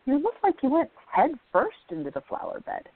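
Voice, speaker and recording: A woman playing an affectionate, sensible young art student in a radio drama, gently chiding as she talks. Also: sits high, huge pitch swings, brisk, creaky, full character voice. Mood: amused, fond. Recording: dry, thin phone-like band.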